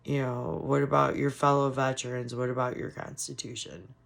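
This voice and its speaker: A woman giving casual, frustrated political commentary on her vlog, hoarse from losing her voice. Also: very deep voice, flat delivery, moderate pace. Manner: frustrated, ranting. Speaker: commentary vlogger.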